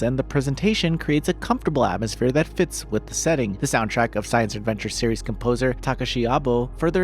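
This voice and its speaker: Man narrating a review with lively pitch. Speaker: video game reviewer. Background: background music.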